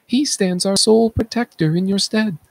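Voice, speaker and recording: A man's voice: sits high, normal speed, vocal fry, dry recording, pitched above the performer's own voice. A man playing a solemn, alarmed chorus elder in an urgent, theatrical reading of Greek tragedy.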